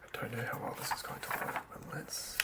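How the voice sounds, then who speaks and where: A person whispering unscripted ASMR, murmuring hesitantly and thinking aloud while working on a tabletop game monster. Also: brisk. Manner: hushed, hesitant, murmuring to self.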